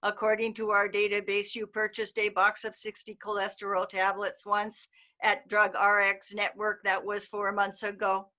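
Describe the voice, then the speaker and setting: A woman's voice: mid-range voice, completely flat delivery, moderate pace, sharp tone, lightly acted. Woman reading a prying, unflappable pizza clerk. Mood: polite. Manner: polite, unflappable and coolly formal. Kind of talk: amateur readers theater over video call. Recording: dull lo-fi video call audio.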